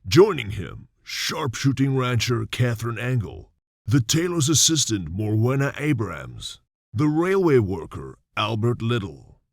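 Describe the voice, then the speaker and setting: A man narrating a radio drama in a measured, expressive storytelling manner. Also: mid-range voice, gravelly, no echo, studio quality.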